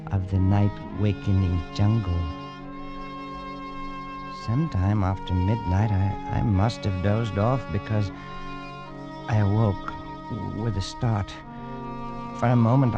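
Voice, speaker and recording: An actor playing an arrogant, flippant English colonial adventurer in a radio drama, speaking theatrically. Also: male voice, fairly low pitch, muffled recording. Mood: tense, narrating. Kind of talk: old-time radio drama acting. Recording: vintage radio recording with music.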